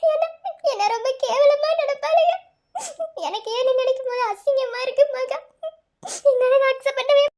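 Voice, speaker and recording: A woman's voice: extremely high pitch, moderate pace, darkish tone. A woman playing a distraught young pregnant woman in a melodrama, pleading tearfully and full of shame. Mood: ashamed pleading.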